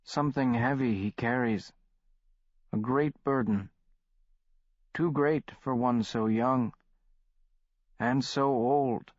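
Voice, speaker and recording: Man voicing solemn old shaman, measured, dry dull audiobook recording. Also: mid-range voice, dry recording, lightly acted.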